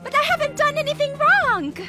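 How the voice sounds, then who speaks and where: A woman's voice: extremely high pitch, expressive, brisk, loud music behind the voice. A woman voicing a curious, polite little storybook girl who is easily exasperated. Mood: indignant. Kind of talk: children's storybook cartoon acting.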